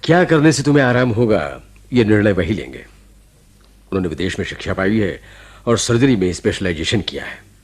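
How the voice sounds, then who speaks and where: An actor playing a genial, reassuring, avuncular hospital doctor in a radio drama, with a jovial, expressive manner. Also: male voice, on the low side, brisk, slightly rough. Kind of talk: Hindi radio drama acting.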